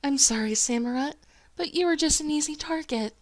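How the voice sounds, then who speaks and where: A woman's voice: sits high, brisk, dry recording, pitched above the performer's own voice, natural voice. A woman voicing a smug snake-like rival creature that taunts with mock apologies in a cartoon style.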